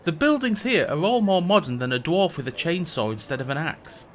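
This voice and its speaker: A man's voice: fairly high pitch, animated, fairly quick, a little hiss or hum, lightly acted. A man playing a reluctant, sardonic male mage who hosts a tourism show, delivering scripted comic narration with dry, sarcastic asides. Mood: wry. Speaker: podcast host.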